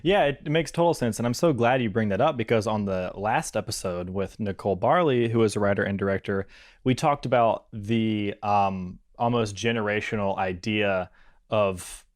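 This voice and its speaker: A man's voice: on the low side, moderate pace, no echo, clean studio sound. A man hosting a casual podcast interview, agreeing readily and adding his own thoughts with easy laughs. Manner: agreeable, chimes in with short affirmations, laughs easily. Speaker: podcast host.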